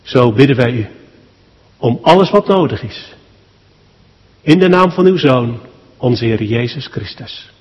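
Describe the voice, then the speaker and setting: Slow, solemn man praying in a reverberant church. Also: mid-range voice, lively intonation, highs cut off. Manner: solemn, measured, in short phrases with deliberate pauses. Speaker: pastor leading a funeral service. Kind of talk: spoken public prayer at a church thanksgiving service. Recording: long church hall reverb.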